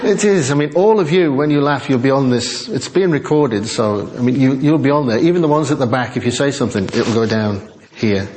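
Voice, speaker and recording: An older man preaching a chatty, self-deprecating sermon in a quiet voice to a church congregation on a small microphone. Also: mid-range voice, a little fast, hardly any pauses. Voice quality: quiet, soft-spoken voice. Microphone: small sensitive microphone.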